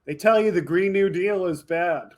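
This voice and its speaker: A man hosting a video podcast, delivering an impassioned, emphatic political monologue straight to his viewers, swinging between forceful outbursts and lower asides. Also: moderate pace. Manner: emphatic, fired up, addressing viewers directly. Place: indoor home studio with green screen.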